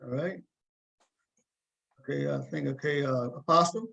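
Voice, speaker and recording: A man hosting a casual interview, often repeating words haltingly as he goes. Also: a little high, creaky, bright, forceful tone, husky, muffled recording. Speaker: talk show host.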